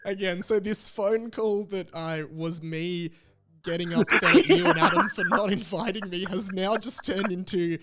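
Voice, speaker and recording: A man with an Australian accent hosts a casual comedy podcast. His voice sits a little high, and he talks in a loose, rambling way with a joking manner. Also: lively intonation, normal speed, over a noisy crowd. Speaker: podcast host.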